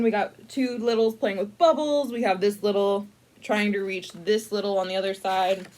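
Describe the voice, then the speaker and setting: Woman, medium pitch, casual storytime narration, playful, wry asides, mock-dramatic, conversational, thinking aloud. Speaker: library storyteller reading a picture book to adults. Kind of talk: casual, improvised picture-book storytime narration.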